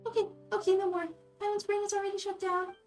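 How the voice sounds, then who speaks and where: Woman voicing a high-pitched, excitable little fairy companion. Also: fast talker, far from the mic, faint music behind. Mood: exasperated. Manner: speaks of herself in third person, exclamatory. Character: small excitable female fairy companion, blunt and chatty.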